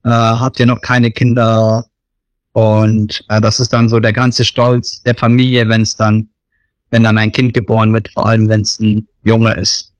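A man in his thirties from Germany, talking casually about his missionary work in an interview, often pausing with fillers. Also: lowish voice, flat delivery, no echo. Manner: hesitant, many fillers and restarts.

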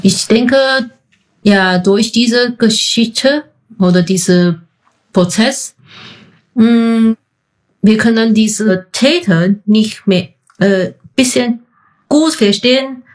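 A woman's voice: on the high side, drawn-out words, poor audio. A woman from Taiwan, speaking German with a Taiwanese accent as a non-native speaker, answering interview questions hesitantly and searching for words. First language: Chinese. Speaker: podcast guest.

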